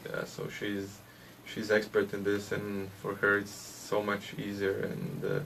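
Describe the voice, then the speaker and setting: A Latvian man, a hockey player, answering interview questions in English with a Latvian accent, haltingly and with many hesitations. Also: on the low side, completely flat delivery, creaky, fairly bright tone. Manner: halting, hesitant, with frequent "uh" and restarts. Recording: distant mic with faint background noise.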